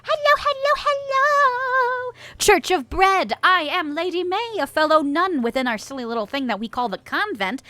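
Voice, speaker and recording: A woman's voice: sits high, a bit bright, dry recording. A young woman streamer chatting casually with her chat, bubbly and giggly, reading out viewer messages.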